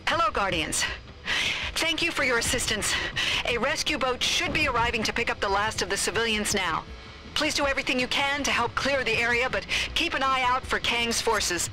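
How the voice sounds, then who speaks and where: An actress voicing a brisk, formal female officer in a video game, with a businesslike delivery. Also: on the high side, pushed and bright, soft background music, poor audio. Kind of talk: video game cutscene acting.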